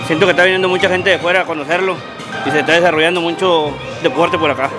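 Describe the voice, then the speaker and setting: Northern Mexican man, highish voice over music. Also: steady tone, fast. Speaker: bartender. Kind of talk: casual interview answers. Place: indoor club bar. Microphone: handheld interviewer microphone. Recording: music playing behind.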